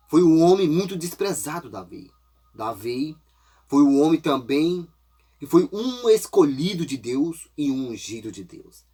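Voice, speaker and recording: Congested preacher with lively, husky voice. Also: male voice, fairly high pitch. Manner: folksy, repeats "né?" often. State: congested with a cold. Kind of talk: informal sermon.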